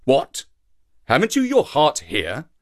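Man playing a coaxing storybook shark, raised pitch. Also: wildly swooping pitch, slow, heavily acted. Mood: anxious. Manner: coaxing, emphatic. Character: male shark, friendly but scheming, gullible, anxious. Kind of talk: animal folktale storytelling.

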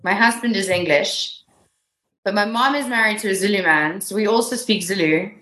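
A woman's voice: mid-range voice, normal speed, sharp tone. A woman from South Africa with a South African accent, hosting a casual interview and gushing with giggles. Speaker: podcast host. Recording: roomy echo.

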